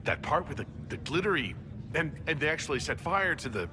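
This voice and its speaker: An actor playing a courteous, good-humored leader of a fantasy order in a video game, gently teasing and sincere. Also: male voice, fairly high pitch, big pitch swings, brisk, background noise. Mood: flustered, delighted. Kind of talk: video game cutscene acting.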